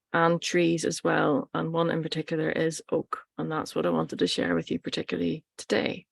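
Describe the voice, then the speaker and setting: A woman teaching informally, speaking in a measured, reflective way with pauses between short phrases. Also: lowish voice, gravelly, no echo. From Ireland. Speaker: workshop teacher and plant practitioner.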